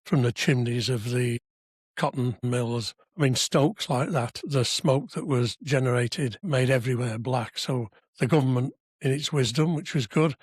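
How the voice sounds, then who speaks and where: Man in his seventies, from Wales, husky voice, chatty storytelling, dry asides, close dry studio sound. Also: mid-range voice, measured pace, dry recording. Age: 70s. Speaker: podcast guest, civic society member. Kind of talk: conversational reminiscence. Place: podcast studio.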